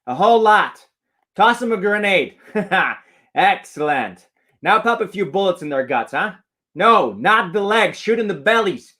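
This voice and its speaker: A man's voice: high voice, expressive, unhurried, sharp tone, heavily acted. A man acting a brutal, foul-mouthed riot policeman who talks in a crude, jeering, menacing way. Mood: cruel relish. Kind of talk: dramatic acting.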